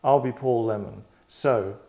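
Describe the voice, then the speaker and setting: A man's voice: medium pitch, a little slow, vocal fry, dark tone. A man in casual host mode, talking in a mid-range voice. He rambles and digresses with a tongue-in-cheek manner as he sets up a script reading. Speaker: host and writer running a table read.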